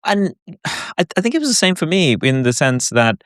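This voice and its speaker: A man with a British accent who grew up in Hong Kong, speaking thoughtfully in a casual conversation, halting at times and animated at others. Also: on the high side, no room sound, clean studio sound. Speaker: podcast co-host.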